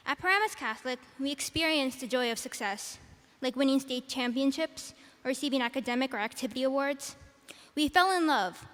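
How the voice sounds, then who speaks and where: Soft-spoken teenage girl in an echoing arena. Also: high voice. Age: teens. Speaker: salutatorian giving graduation speech. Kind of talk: prepared commencement speech. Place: large arena. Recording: arena with long reverb.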